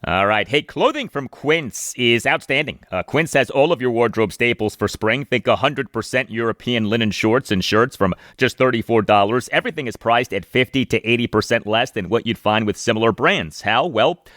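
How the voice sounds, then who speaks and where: A man's voice: mid-range voice, moderate pace, few pauses, fairly bright tone, no echo, clean studio sound. A man hosting a sports podcast in a conversational, scripted-yet-personal presenting style. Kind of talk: conversational hosting and host-read ads.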